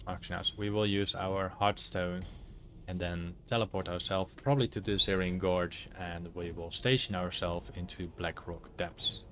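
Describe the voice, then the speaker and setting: A man with a Dutch accent narrates his gameplay at a relaxed pace, often pausing to search for words. His voice is low. A faint hiss sits behind it. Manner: halting, many fillers, searches for words. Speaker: streamer. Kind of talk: casual gameplay commentary.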